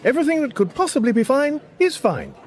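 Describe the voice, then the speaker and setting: An actor playing an awkward, flustered Englishman in love in a radio comedy, halting, earnest and exasperated. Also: extremely high pitch, huge pitch swings, exaggerated voice. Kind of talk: radio comedy drama acting.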